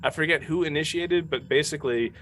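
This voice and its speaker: A man chatting casually and telling stories in a halting, conversational way. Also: a little high, fairly bright tone, slight background noise. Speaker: podcast cast member. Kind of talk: casual reminiscing chat.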